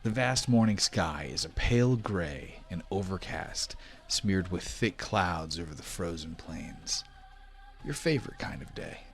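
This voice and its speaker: Very deep-voiced male game master. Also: expressive, soft background music. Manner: measured, descriptive storytelling. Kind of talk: narrating a tabletop role-playing game, prompting players.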